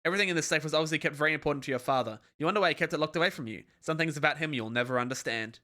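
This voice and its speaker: A man streamer reading a webcomic aloud on a live stream in a calm, relaxed reading voice. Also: on the high side, very fast.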